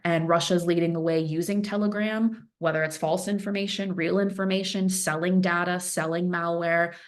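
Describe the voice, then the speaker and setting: Earnest woman presenting a cyber briefing. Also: lowish voice. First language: Farsi speaker. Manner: earnest, emphatic, repeats phrases for stress. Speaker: threat intelligence analyst presenting. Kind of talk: webinar presentation.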